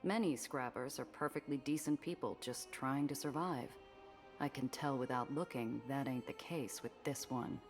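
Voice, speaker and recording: An actress playing a world-weary woman smuggler who narrates her story in a wry, deadpan hard-boiled style. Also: a bass voice, normal speed. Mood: dry, wary. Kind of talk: hard-boiled first-person game narration. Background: music.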